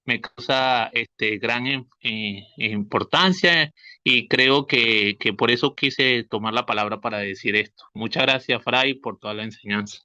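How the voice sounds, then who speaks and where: A man's voice: medium pitch, unhurried, bright, forceful tone, dry recording. A man from Venezuela with a Venezuelan accent, a teacher commenting spontaneously, hesitant and repeating himself as he searches for words. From Táchira, Venezuela. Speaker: school religion teacher.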